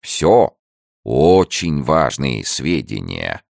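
A sly, boastful stout merchant, put on by a man reading a novel aloud. He drawls his words slowly with smug, wheedling emphasis, in a very deep, gravelly voice. The sound is dry and close. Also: very animated, a little mellow, dry recording, exaggerated voice. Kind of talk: audiobook character voice.

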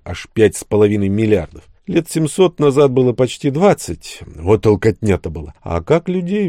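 A man's voice: fairly low pitch, warm tone, no echo, clean studio sound. A man reading first-person fiction as an audiobook narrator, in a colloquial, wry storytelling manner.

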